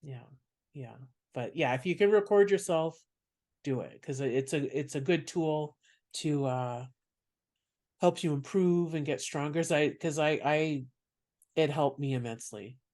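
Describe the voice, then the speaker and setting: A man from Canada with a soft voice, a stand-up comedian speaking as a podcast guest in reflective, unhurried talk. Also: fairly high pitch. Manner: reflective, explains at length. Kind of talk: casual interview chat.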